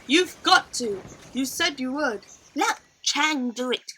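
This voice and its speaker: Reluctant storybook pirate oarsmen, acted by a woman reader, bickering and passing the job along. The voice is raised and bright, urgent and squabbling. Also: high voice, animated, takes it slowly, slight background noise, pitched above the performer's own voice, heavily acted. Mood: nervous bickering. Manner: bickering, urging.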